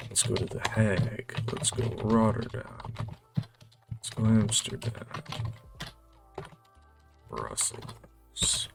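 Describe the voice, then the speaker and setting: A man's voice: on the low side, very animated, takes it slowly, gravelly, pauses often. A North American man playing a geography quiz, thinking aloud casually and muttering questions to himself hesitantly, with music and typing behind him. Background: music, computer keyboard typing.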